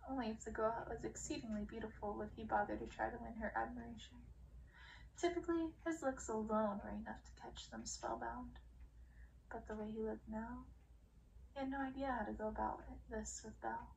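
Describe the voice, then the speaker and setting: A woman reads a dark fairy-tale story aloud in ASMR style, as a dramatic storyteller who draws out words and slips toward whispers. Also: on the high side, creaky. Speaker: audiobook reader. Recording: distant mic with faint noise.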